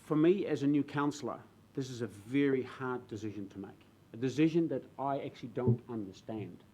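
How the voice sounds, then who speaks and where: A man from the Netherlands with a Dutch accent, a new councillor speaking earnestly in a council chamber, halting with fillers and restarts. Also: mid-range voice, mellow, a little hiss or hum. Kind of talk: speech in a council debate. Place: council chamber with public gallery.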